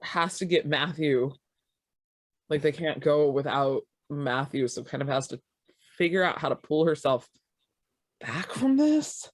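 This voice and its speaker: A woman's voice: low voice, animated, unhurried, pauses often, no echo. A woman narrating her character's actions in a tabletop game, intense and searching, repeating her phrases. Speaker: tabletop player.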